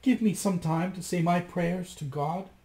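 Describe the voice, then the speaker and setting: A man's voice: high voice, a little slow, gravelly, mellow, voice pushed up. A man doing a terrified pleading young wife. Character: frightened young wife pleading for her life. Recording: echoey room.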